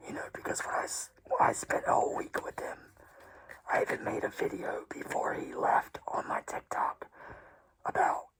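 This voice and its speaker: A woman whispering a personal story in a hushed, tearful, casual way, swearing freely. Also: moderate pace, low-quality recording.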